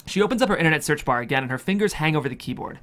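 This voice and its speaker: A man's voice: a little high, lively intonation, racing, a bit bright. A man reading a screenplay's stage directions as scripted narration. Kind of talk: scripted narration at a table read.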